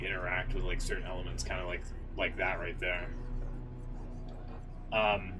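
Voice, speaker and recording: Male streamer, lowish pitch, rambling slangy reactions, casual and excitable, music playing behind him. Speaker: game streamer reacting to story readings and cutscenes. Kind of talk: casual live stream commentary.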